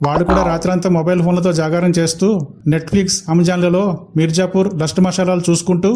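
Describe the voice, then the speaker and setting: A grumbling sidekick creature from a comic Telugu cartoon acted by a man. His voice is high and hurried, sarcastic, with little rise and fall. Faint noise runs underneath. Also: level tone, warm tone, natural voice.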